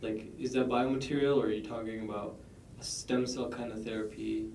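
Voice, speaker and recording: Mellow-voiced man in an echoey room. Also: mid-range voice, fairly even intonation, a little fast, gravelly, a little hiss or hum. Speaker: podcast co-host. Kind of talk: casual interview chat. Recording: echoey room, far from mic.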